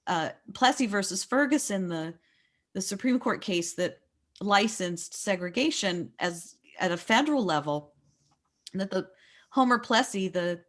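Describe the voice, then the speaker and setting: An American woman leading a book discussion, speaking in a reflective, explanatory way and often rephrasing mid-sentence. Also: lowish voice. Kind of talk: thoughtful literary discussion.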